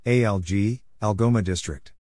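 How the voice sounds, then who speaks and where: A man giving a scripted read-out as an instructor, spelling letters and saying names in short, measured, separate phrases. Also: on the low side, a little mellow, no room sound. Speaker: Morse code practice instructor. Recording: noisy recording with clicks.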